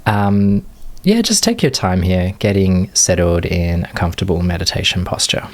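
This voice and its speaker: A man leading a guided meditation as a teacher, giving unscripted, gentle instruction in short phrases with long pauses. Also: sits low, animated.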